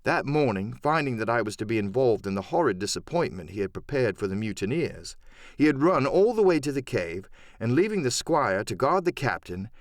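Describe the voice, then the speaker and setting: Expressive male audiobook narrator, very lively pitch. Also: dry recording, clean studio sound. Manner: expressive, storytelling. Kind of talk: dramatic scripted literary narration.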